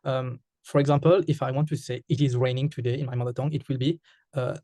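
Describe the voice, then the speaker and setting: Mauritian man, flat hesitant answers. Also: medium pitch, fast talker, no room sound. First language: Mauritian Creole. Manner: hesitant, many fillers and restarts. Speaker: interviewee. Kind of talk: casual interview answers.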